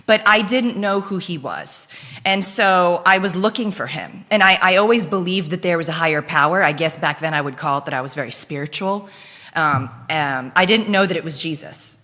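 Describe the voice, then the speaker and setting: A woman's voice: on the low side, bright, forceful tone. A young woman from the Philippines whose first language is Dutch, sharing her testimony in a chatty way, with lots of fillers and frequent laughter. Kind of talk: casual conversational testimony. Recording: long hall reverb.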